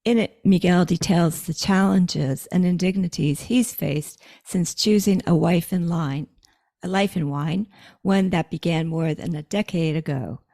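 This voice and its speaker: A woman's voice: lowish voice, measured pace, vocal fry, warm tone. A woman co-hosting a podcast, reading a scripted introduction of the guest. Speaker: podcast co-host.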